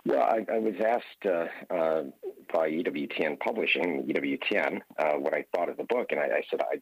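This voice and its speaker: Very deep, husky man on a radio interview. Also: no room sound, dull audio. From Hawaii. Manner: measured, earnest storytelling. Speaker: guest author.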